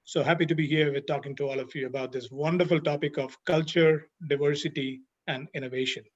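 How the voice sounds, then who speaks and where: A middle-aged man speaks on a webinar panel over a video call, his voice sitting a little high for a man. He explains at length in a steady way, leaning on "you know" as he goes. The sound is dull and muffled. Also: fairly quick.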